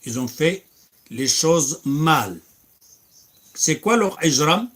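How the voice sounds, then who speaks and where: A man's voice: a little high, expressive, takes it slowly, fairly bright tone. A man preaching and answering questions, emphatic, breaking into recited Arabic verses. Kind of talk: religious Q&A.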